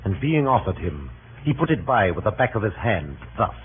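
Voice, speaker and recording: An actor playing a blunt, sardonic Roman gossip who tells tales with wry scorn, in 1930s radio drama style. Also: male voice, lowish voice, sing-song, normal speed. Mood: scornful, amused. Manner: wry, scornful, conversational storytelling. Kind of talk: 1930s radio Shakespeare acting. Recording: tinny hissy 1930s radio broadcast.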